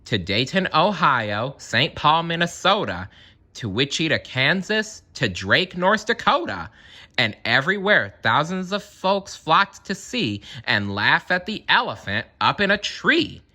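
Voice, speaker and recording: Male children's storyteller: slow, very lively intonation, expressive, dramatic emphasis. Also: a little high. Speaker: storybook reader. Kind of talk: expressive children's read-aloud narration with asides.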